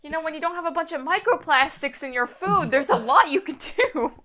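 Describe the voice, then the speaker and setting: A woman's voice: high voice, lively intonation, brisk, pushed and bright. A woman co-hosting in casual, conversational banter. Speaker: co-host.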